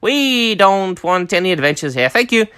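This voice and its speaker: A man's voice: high-pitched, big pitch swings, normal speed, fairly bright tone, clear, resonant tone, no echo, clean studio sound, voice pushed up. A man reading aloud as a prim, fussy male hobbit.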